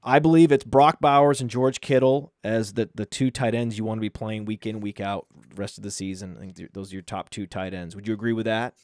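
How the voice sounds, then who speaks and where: A man from Maine with a mostly suppressed Maine accent, hosting a casual sports podcast, often repeating words and trailing off quietly. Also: lowish voice, steady tone, fairly quick, few pauses, no room sound, studio quality. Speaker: podcast host.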